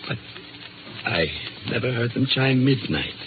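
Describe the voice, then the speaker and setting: An actor playing a shaken, punctilious American man in a 1950s radio drama, halting and theatrical. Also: medium pitch, expressive, lightly acted. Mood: brooding, resigned. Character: shaken doomed male patient, punctilious and obsessed with time. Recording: tinny, noisy old radio recording.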